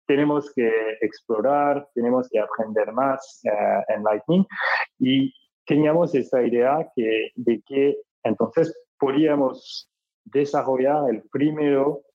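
Slow halting man with a French accent. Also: medium pitch, dark tone, highs cut off. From France. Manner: halting, many fillers and restarts. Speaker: startup cofounder guest. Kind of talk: interview answer.

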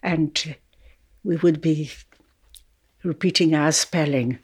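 An older woman from Poland, slowly and thoughtfully recalling her life in an interview, with soft laughs. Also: sits low, husky. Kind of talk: reflective personal recollection.

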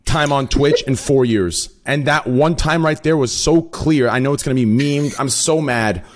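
A male streamer with a deep voice, sick, chatting casually on a podcast with stammering restarts. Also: normal speed. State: sick, voice cracking.

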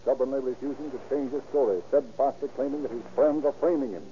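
An actor playing a grave, dramatic crime story narrator, solemn and emphatic, in 1930s radio style. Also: male voice, mid-range voice, fairly even intonation, fast talker, slight background noise, natural voice. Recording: dull old radio recording, loud music.

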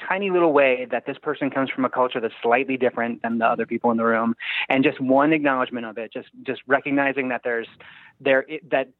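A man's voice: mid-range voice, quick pace, dry recording. Man on a thin phone-like line. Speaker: guest screenwriter. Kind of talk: casual interview chat.

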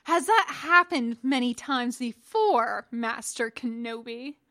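A woman's voice: fairly high pitch, animated, takes it slowly, bright, forceful tone, heavily acted. A woman voicing a haughty older male master who speaks with cold disdain. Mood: probing.

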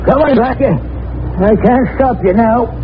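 An actor playing a defiant, surly rural suspect with a folksy, taunting way of talking in a 1940s radio crime drama. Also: male voice, very high voice, measured pace. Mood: taunting, aggrieved. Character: defiant, surly rural homesteader accused of murder. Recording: noisy narrow-band 1940s radio recording.

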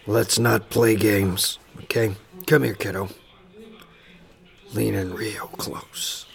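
An actor playing an unsettling, sardonic former late-night DJ in an institution, knowing and condescending, in a horror audio drama. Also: male voice, lowish voice, fairly even intonation, slow pace, exaggerated voice. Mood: conspiratorial. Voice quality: gravelly, creaky.

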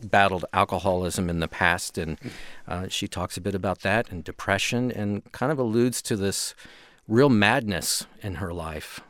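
A man's voice: low-pitched, normal speed, fairly bright tone, dry recording. A man co-hosting a music show, talking casually and thoughtfully, sometimes searching for his words.

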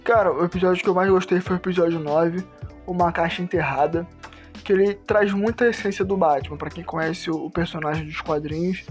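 A man's voice: high voice, fairly even intonation, a little fast, faint music behind. A Brazilian-accented man giving casual recommendations as a podcast panelist.

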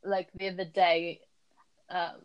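A woman in her twenties chatting casually, an animated storyteller who laughs often. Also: lowish voice, takes it slowly, clean tone, highs cut off. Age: 20s. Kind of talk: casual chat.

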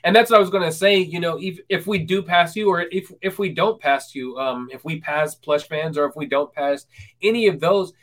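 A man's voice: a little high, normal speed, a bit bright. Hesitant young man hosting a chat. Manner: hesitant, many ums and restarts. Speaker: podcast host. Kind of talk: casual interview chat.

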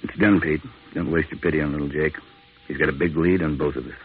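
A calm, sombre priest with a very low voice and brisk, plain delivery. It is old radio drama, muffled, with faint music behind. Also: male voice, breathy edge. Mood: solemn. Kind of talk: 1950s radio drama acting.

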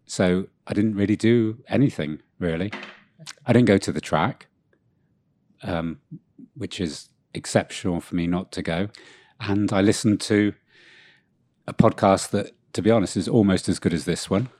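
Male co-host, very low voice, a bit sniffly, wry and self-mocking, dry close recording. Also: moderate pace, darkish tone, no echo. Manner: dry, self-deprecating humor. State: has a cold. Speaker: podcast co-host. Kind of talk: casual chat. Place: room with co-host far off.

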